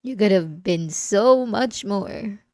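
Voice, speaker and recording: A woman playing an obsessive, remorseful wife in an intimate ASMR roleplay, confessing in a halting, tearful way close to the microphone. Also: mid-range voice, lively intonation, darkish tone, dry recording. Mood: despairing, hushed. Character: obsessive, possessive wife, remorseful, delusional and tormented. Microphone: close microphone, dry.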